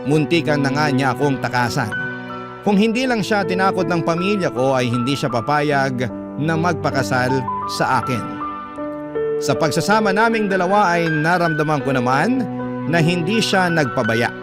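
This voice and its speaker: Wistful narrator over loud music. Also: male voice, on the high side, lively intonation, normal speed. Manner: confessional, wistful. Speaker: letter narrator. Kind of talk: scripted first-person narration, radio-drama style. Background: loud background music.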